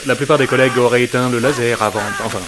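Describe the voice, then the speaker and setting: A man plays a composed supervillain with a deadpan, provocative manner in a comic audio drama. His mid-pitched voice comes over clear sizzling noise. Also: very fast, natural voice. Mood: dry, composed. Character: unflappable, dryly provocative male supervillain colleague. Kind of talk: comedic audio drama acting.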